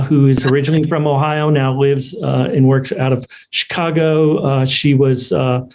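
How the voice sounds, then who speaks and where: A man with a slightly high voice hosts an online ceremony. He speaks off the cuff, with a halting, hesitant flow as he makes introductions. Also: darkish tone. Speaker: host of an online award ceremony. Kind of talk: unscripted event hosting. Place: remote video call.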